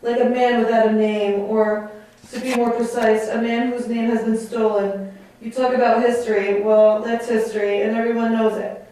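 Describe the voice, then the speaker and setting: A woman playing a bitter, defiant enslaved man, accusing and deliberate in stage dialogue. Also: on the high side, level tone, warm tone, close to an everyday voice. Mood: bitter defiance. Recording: very echoey room, far from mic.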